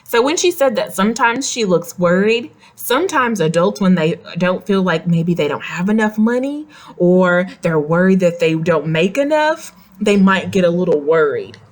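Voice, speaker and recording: A woman's voice: fairly low pitch, expressive, normal speed, hardly any pauses. A woman reading a picture book aloud to young children, expressively, with simple questions and explanatory asides. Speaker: read-aloud storyteller.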